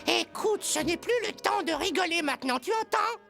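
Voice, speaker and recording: A woman's voice: very high voice, lively intonation, normal speed, creaky, a bit bright, soft background music, exaggerated voice. A woman voicing an earnest, determined young male rabbit hero in a children's cartoon, eager and boyish. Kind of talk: animated children's film dub.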